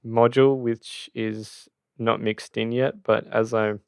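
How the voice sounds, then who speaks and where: A man presenting a casual software tutorial on sound design, haltingly thinking aloud as he demonstrates, on a close microphone. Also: lowish voice, level tone, gravelly, clear, resonant tone, no echo.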